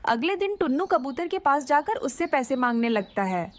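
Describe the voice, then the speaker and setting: Lady narrator for kids cartoon story, high pitched, really animated reading. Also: moderate pace.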